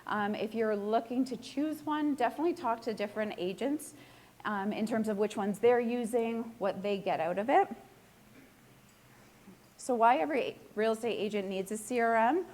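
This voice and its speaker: Hoarse chatty woman giving a talk. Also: a little high, normal speed, a little mellow. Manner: chatty and self-deprecating, asks the room questions. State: hoarse, borderline losing her voice. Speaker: real estate agent giving a talk. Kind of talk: casual live presentation to a room.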